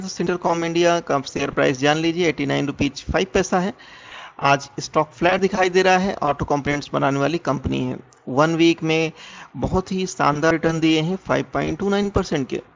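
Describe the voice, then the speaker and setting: A man's voice: on the high side, normal speed, vocal fry. A man with an Indian accent presenting an explanatory stock analysis as a voice-over, in a muffled lo-fi recording. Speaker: presenter of a stock market education channel.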